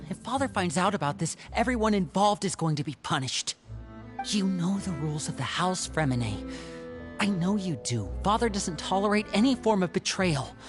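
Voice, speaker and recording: A woman's voice: on the low side, lively intonation, normal speed, lightly acted. A woman playing a confident, shrewd, protective young man, an older brother, in a video game. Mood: stern, suspicious. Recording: music behind the voice.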